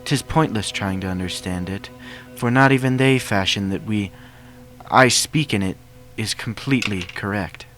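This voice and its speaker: A man's voice: lowish voice, normal speed, a bit bright, lightly acted. A man voicing a regal, earnest pony princess in a fan story reading, with awkward, archaic, formal speech. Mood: hesitant, apologetic. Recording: music behind the voice.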